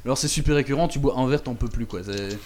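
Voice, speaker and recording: A man with a middle-pitched voice talks in a loose, casual way. He stumbles over his words, repeats himself and fills pauses with hesitations. He chuckles often as he tells a story. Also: a little mellow. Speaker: radio show contributor recounting a trip. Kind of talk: casual chat.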